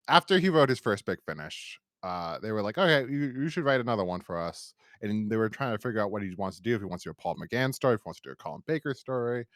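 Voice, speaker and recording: A man's voice: fairly low pitch, fairly bright tone, no echo, studio quality. An American man hosting a podcast, talking casually and enthusiastically in a rambling fan-review style. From United States. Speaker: podcast host.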